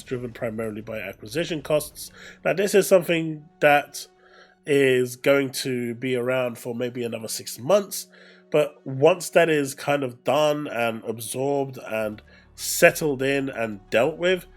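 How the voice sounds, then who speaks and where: Man giving gaming news commentary over music. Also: mid-range voice. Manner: explanatory, repeats phrases for emphasis. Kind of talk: casual commentary, reading an article aloud with asides.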